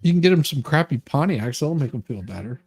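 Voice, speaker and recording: A man's voice: medium pitch, animated, brisk, gravelly, darkish tone, slightly rough, no room sound. A man with a sardonic manner, bantering casually and reading letters aloud as a host.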